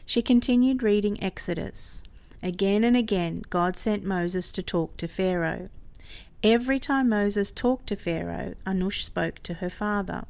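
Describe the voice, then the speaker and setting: A woman's voice: medium pitch, unhurried, creaky, darkish tone. A woman reading a scripted mission story aloud as a storyteller. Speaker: story reader.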